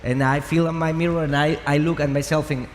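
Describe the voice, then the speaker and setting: Playful male CEO, slightly higher voice, echoey hall, anecdote-telling. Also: steady tone, fast. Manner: playful, tells a self-mocking anecdote. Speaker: startup CEO on a panel. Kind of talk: conference panel discussion. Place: large conference hall. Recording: echoey hall with faint noise.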